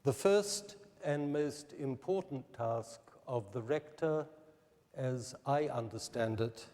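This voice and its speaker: New guy giving a slow careful formal speech, warm mellow voice, echoey hall. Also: medium pitch. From New Zealand. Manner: measured, deliberate delivery. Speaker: candidate giving a public presentation. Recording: reverberant hall.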